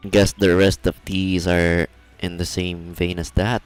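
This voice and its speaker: A male game streamer talks casually in a deep, smooth voice. He muses aloud with hesitant pauses, close on a streaming mic, with faint game music behind him. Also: level tone. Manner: musing, hesitant thinking aloud. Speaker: livestreamer, gamer. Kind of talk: casual live game commentary.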